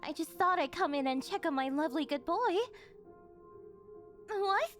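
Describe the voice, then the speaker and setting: A rich, obsessive older girlfriend in an anime-style ASMR roleplay, acted by a woman. Her voice is very high and swings animatedly as she coos and gushes at her beloved. She is clingy and over-eager, with faint music behind her. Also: animated, normal speed, a bit bright. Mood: eager, sweet. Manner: gushing, cooing, over-eager, flustered denials. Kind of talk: ASMR roleplay acting addressed to the listener.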